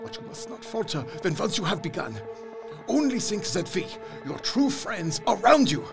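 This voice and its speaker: A man's voice: high voice, very animated, moderate pace, vocal fry, over music, heavily acted. A man playing a solemn, fatherly older professor in a radio play, speaking in a grave, emphatic, rhetorical way. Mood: grave, urging.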